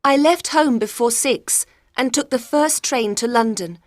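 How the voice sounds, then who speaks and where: A woman acts a troubled young gentlewoman in a storybook mystery. Her voice is fairly high, earnest and carefully enunciated. The sound is close and dry. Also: a little slow, dry recording, close to an everyday voice. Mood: desperate, pleading. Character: frightened, desperate young gentlewoman of about thirty. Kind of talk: dramatised audiobook reading.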